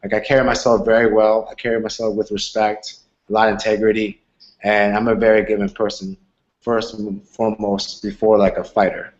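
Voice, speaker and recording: A man's voice: on the low side, flat delivery, a little fast, dull audio. A man in his twenties from Argentina, a fighter answering interview questions in an earnest, rambling way. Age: 20s. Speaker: MMA fighter.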